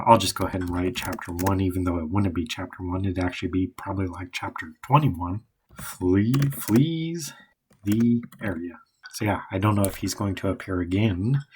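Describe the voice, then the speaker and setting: A hoarse man who is losing his voice thinks aloud as he outlines a novel, hesitant and rambling. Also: low-pitched, slight background noise. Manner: hesitant and rambling, many pauses and restarts. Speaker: writer.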